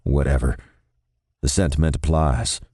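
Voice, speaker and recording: A man voicing a smooth-talking Texan man, sad and resigned, with a low drawl. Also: fairly even intonation, moderate pace, dark tone, no echo, clean studio sound. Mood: dry. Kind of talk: audiobook character voice.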